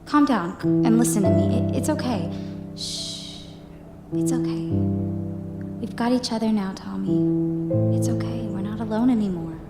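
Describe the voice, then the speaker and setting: A lonely little blind girl, as a woman acts her out, with a soft, soothing, gentle way of talking. her voice echoes in a roomy space. a loud music score runs under this drama. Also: mid-range voice, a little slow, pauses often, close to an everyday voice.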